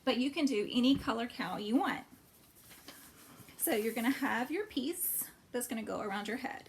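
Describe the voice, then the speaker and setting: A woman's voice: a little high, expressive, moderate pace, slight background noise. A woman hosting storytime for children, reading and explaining simply, with questions put to young listeners. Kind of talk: animated storybook read-aloud and craft talk for children.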